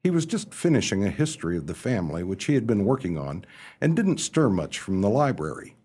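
A man playing a genial, dithering young upper-class gentleman in a radio play, who narrates and stammers when pressed. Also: lowish voice, sing-song, fairly quick, slightly rough. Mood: wry, reflective. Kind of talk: radio play acting with first-person narration.

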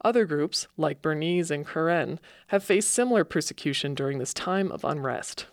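A woman hosting a public radio program, reading scripted narration in a measured way. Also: low voice, animated, no echo, studio quality. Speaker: radio host. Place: radio studio.

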